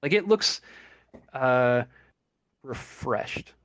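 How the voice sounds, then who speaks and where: A man running a tabletop horror game as game master, narrating with many pauses and fillers. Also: medium pitch, lively intonation, fairly bright tone, no echo. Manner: halting, many ums and uhs. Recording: online voice chat.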